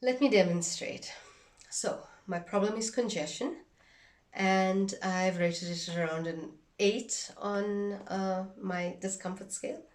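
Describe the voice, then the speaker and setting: A woman giving an instructional demonstration in a measured, deliberate way, phrase by phrase. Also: mid-range voice, recorded from a distance. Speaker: instructor demonstrating a tapping technique.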